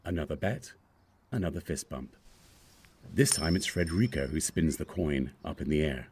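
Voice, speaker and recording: A man's voice: extremely low pitch, lively intonation, moderate pace, a little mellow, a little hiss or hum. A man reading screenplay stage directions as scripted narration.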